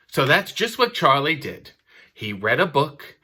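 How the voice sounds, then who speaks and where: Male storyteller host, bright and animated, wry mock-critical asides between book lines. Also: medium pitch, slow pace, slight background noise. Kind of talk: picture book reading with comic asides.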